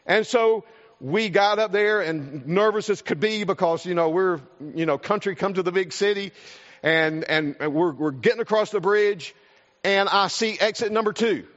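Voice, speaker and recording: A man with a South Carolina accent preaches in a folksy, earnest way, and his voice sits high for a man. He often repeats words as he goes. The sound is dull and lo-fi, with a long reverb from a large hall. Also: moderate pace, fairly bright tone. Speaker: pastor. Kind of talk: sermon with a personal story.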